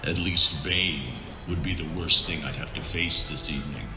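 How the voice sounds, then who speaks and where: A man playing a brooding, grim vigilante superhero in an audio drama, speaking tersely and gravely with dry irony. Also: rumbling low, moderate pace, echoey room, music in the background, pitched below the performer's own voice. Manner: terse, grave, dryly sardonic.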